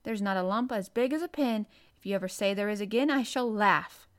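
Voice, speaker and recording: A woman's voice: mid-range voice, expressive, normal speed, natural voice. A fierce little girl from a storybook, acted out by a woman narrator. She is cross and commanding, scolding hard with a savage temper and bossing whoever is in front of her. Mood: cross, firm.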